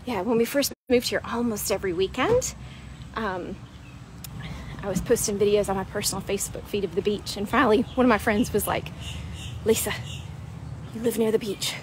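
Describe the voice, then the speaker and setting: A woman's voice: medium pitch, normal speed, long pauses, background noise. Chatty woman walking outdoors. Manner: chatty, explanatory, with frequent "right?" and "um". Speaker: weight loss coach. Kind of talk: casual walk-and-talk vlog. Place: outdoors at a beach park. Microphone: wired earphone mic, phone at arm's length.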